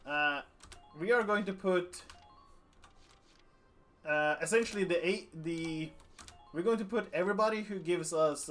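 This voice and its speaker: Rambling male game streamer, a bit high. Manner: rambling, relaxed, self-deprecating. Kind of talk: casual game stream commentary.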